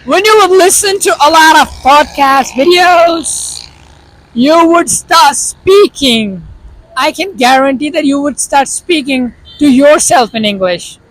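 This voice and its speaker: A man's voice: very high voice, expressive, slow, fairly bright tone, low-quality recording. A man from Pakistan with a Pakistani accent and Urdu as his first language, giving casual, earnest advice straight to the viewer. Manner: earnest, explaining directly to the viewer. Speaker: vlogger.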